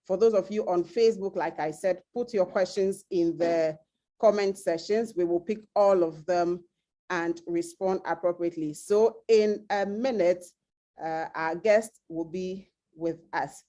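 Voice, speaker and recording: A woman moderating an online information session, giving instructions and introducing the guest with occasional filler pauses. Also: lowish voice, dark tone. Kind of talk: online event announcements.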